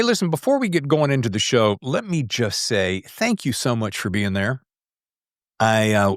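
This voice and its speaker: A man with a lively, swinging delivery and a mid-range voice hosts a podcast in a casual style. He rambles playfully and teases himself in asides, and the recording is close and dry. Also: medium pitch, no echo. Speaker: podcast host. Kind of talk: casual chatty podcast hosting.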